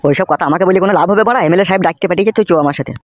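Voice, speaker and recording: Fast, high-voiced blunt cartoon henchman, played by a man. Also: no echo, lightly acted. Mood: scolding, blunt. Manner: blunt, swearing, rural colloquial talk. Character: gruff foul-mouthed henchman, jilted and world-weary young man. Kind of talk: amateur comedy cartoon dub.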